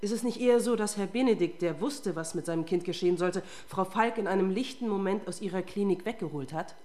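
A woman's voice: mid-range voice, fast, mellow, natural voice. An actress playing a tough, relentless female police detective in a German radio crime drama, confrontational and sardonic. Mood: accusing.

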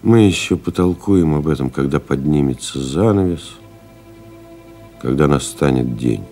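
An actor playing a weary, stoic pilot stranded in the desert, narrating gravely and deliberately in an audio play, with music behind him. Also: male voice, a bass voice, lively intonation, mellow, heavily acted. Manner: grave, deliberate, brooding, with pauses. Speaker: actor narrating in first person.

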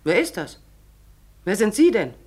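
A woman's voice: mid-range voice, very animated, a little slow, darkish tone, husky. An actress playing a brusque, callous, gossipy woman tenant in a 1940s German radio drama, blunt, offhand and sardonic. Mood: curt, suspicious.

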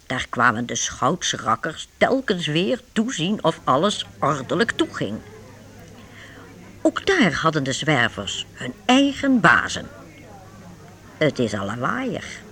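Radio play narrator, slow telling, voice moves up and down a lot. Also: medium pitch, wildly swooping pitch, a bit bright. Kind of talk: scripted radio drama narration.

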